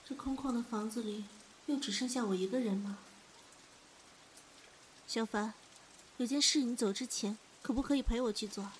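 A woman playing a guilt-ridden, fragile young woman in an audio drama, halting and sorrowful. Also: a little high, a little hiss or hum, lightly acted. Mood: sad, pleading. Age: young adult. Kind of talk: Chinese audio drama acting.

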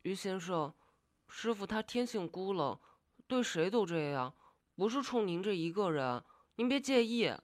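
An actress playing a meek, earnest young opera apprentice in a radio drama, polite, youthful and eager in manner. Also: female voice, medium pitch, expressive, a little slow, no room sound, studio quality, close to an everyday voice.

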